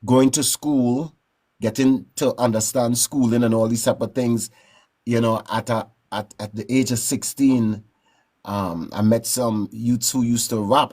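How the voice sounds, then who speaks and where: A man from Trinidad with a Trinidadian accent, telling stories in a relaxed, rambling way as a guest in a casual interview. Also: medium pitch. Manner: relaxed, rambling, frequent "you know what I'm saying". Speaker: guest musician.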